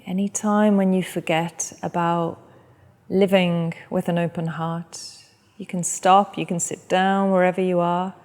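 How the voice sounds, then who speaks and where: Lady yoga teacher talking you through a meditation, slow and soft, voice trails off into a long reverb. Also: lowish voice, level tone. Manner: soft, slow and gentle guidance. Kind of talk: guided meditation.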